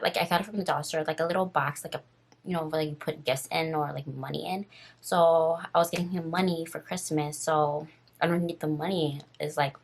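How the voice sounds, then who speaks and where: A woman in her twenties with a raspy voice, casually telling a story on her vlog while doing her makeup, rambling and full of fillers. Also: sits low. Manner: rambling, filler-heavy and nervous. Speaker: vlogger.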